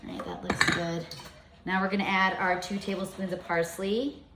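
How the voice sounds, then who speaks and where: A woman's voice: medium pitch, flat delivery, normal speed, echoey room, very noisy. A woman in her fifties giving a chatty, step-by-step home cooking demonstration in her kitchen. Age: 50s.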